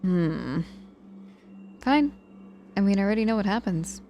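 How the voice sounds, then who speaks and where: A woman's voice: medium pitch, animated, a little slow, lo-fi sound, soft background music. A woman playing a sardonic but caring young witch in an intimate ASMR audio roleplay, speaking casually and teasingly with feigned reluctance. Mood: playful, grudging.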